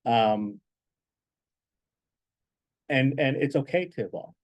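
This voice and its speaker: Man, haltingly thinking aloud. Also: mid-range voice. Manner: halting, repeats words while thinking. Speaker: guest speaker. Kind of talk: reflective advice in an informal talk.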